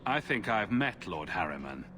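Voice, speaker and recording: An actor playing an easygoing adventurer hero in a video game, with a wry, understated delivery. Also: male voice, sits low, big pitch swings, normal speed, bright, forceful tone, a little hiss or hum, exaggerated voice. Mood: casual. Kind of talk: video game cutscene acting.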